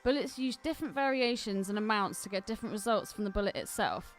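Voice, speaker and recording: A woman's voice: mid-range voice, fast, faint music behind. A woman co-hosting a podcast, chatting casually and reading aloud, giggly and easily sidetracked. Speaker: podcast co-host. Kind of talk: casual chat, reading listener mail aloud.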